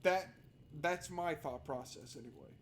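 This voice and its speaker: A man's voice: on the high side, lively intonation, unhurried, slight background noise. A man doing casual, sweary let's-play commentary, talking to himself and reading game text aloud. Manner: informal, sweary, talks to himself. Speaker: game streamer. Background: game music.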